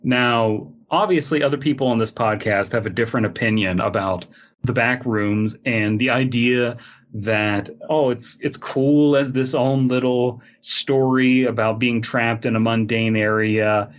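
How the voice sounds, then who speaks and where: A man co-hosting a podcast in casual comedic chat, telling stories with animated, mock-serious exaggeration. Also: medium pitch, measured pace. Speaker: podcast co-host.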